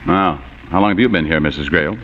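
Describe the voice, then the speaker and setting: A man's voice: deep voice, a little fast, a bit bright. A man acting a cynical, tough private detective in 1940s radio noir style, with clipped, sardonic slang. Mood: tough, needling. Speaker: actor in a radio drama. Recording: muffled, noisy old radio broadcast.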